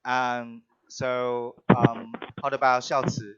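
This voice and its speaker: Slow, hesitant man hosting a video call. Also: mid-range voice, little pitch movement, fairly bright tone, clear, resonant tone, lo-fi sound. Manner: halting, with frequent restarts and fillers. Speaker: host of an online performance art event. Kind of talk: live-stream hosting, introducing guests.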